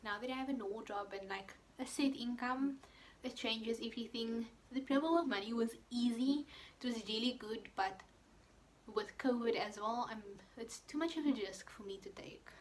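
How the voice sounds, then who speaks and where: A woman's voice: fairly high pitch, normal speed, rough recording. A young woman chatting casually as she answers viewer questions in her vlog, full of fillers and quoted asides. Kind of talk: casual chat.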